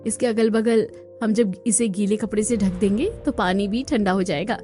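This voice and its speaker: A woman's voice: mid-range voice, moderate pace, warm tone, soft background music, lightly acted. A woman voicing an eager, practical young daughter-in-law explaining things in a scripted cartoon.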